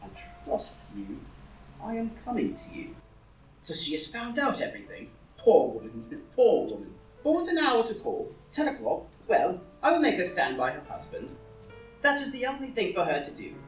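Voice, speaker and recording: A woman's voice: a little high, wildly swooping pitch, normal speed. A woman playing a foppish, idle, witty young lord in a comedy play reading, languid and arch. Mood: musing. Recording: roomy live reading, distant mic, music.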